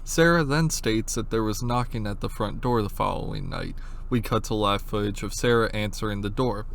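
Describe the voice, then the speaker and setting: A man's voice: lowish voice, moderate pace, gravelly, a little hiss or hum. A man giving narrated analysis commentary, explaining in an informal way with casual asides. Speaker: video essayist, horror series analyst.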